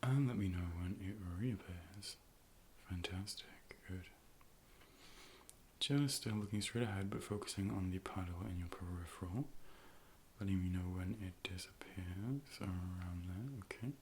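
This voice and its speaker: Soft, low-voiced man doing ASMR. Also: slight background noise. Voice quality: soft, breathy, often dropping into whispers. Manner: calm, measured, gently instructing and reassuring. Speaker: ASMR performer role-playing an optician. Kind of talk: ASMR, soft-spoken medical role play.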